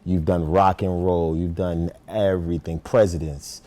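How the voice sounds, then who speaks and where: A man hosts a podcast interview with a very low, dark voice. He talks casually and eagerly, talking up his guest to the audience. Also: flat delivery, measured pace. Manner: enthusiastic, hypes the guest to listeners. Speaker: podcast host.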